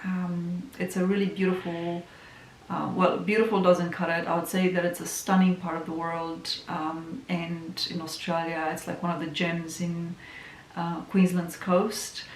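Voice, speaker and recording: A woman with a Romanian accent chats casually about herself, filling pauses with soft ums. Her voice sits low and stays fairly level in pitch. She is recorded in an echoey room with a faint hiss behind her. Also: level tone. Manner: chatty, many ums, soft and musing. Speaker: tarot reader and astrologer. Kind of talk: casual self-introduction vlog.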